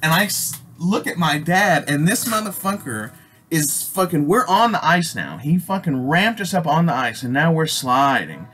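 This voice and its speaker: A man from Alaska with an American accent telling a personal story casually, in an animated, rambling, slangy way full of swearing. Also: fairly high pitch, normal speed, breathy edge. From Alaska, United States. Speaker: storyteller, host. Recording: noisy amateur recording with ambient sound.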